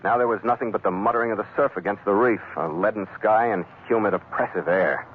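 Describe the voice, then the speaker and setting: A man's voice: lowish voice, quick pace, lightly acted. An actor playing a tough, terse American seafarer who narrates his adventure in clipped, dramatic 1940s radio style. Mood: grim, brooding. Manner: clipped, hard-boiled, dramatic. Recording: noisy, dull 1940s radio recording.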